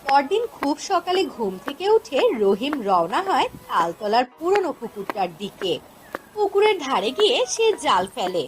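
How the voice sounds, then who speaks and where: A woman's voice: high-pitched, big pitch swings, normal speed, music in the background, poor audio. A woman narrating a scripted fairy tale for a cartoon as the storyteller.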